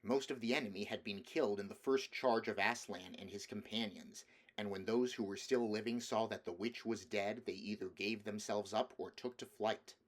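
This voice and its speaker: Low-voiced man reading a storybook. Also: fairly even intonation, normal speed. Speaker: audiobook reader. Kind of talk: scripted storybook narration.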